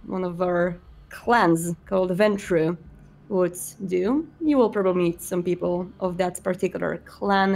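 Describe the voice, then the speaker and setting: A woman playing a polite, welcoming male vampire prince in a tabletop roleplay, speaking in a formal, measured way. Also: medium pitch, darkish tone, natural voice.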